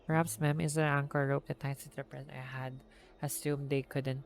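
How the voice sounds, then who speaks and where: A woman's voice: very deep voice, flat delivery, fairly quick, a little hiss or hum. A woman with a Filipino accent, live streaming a game and reading its dialogue aloud.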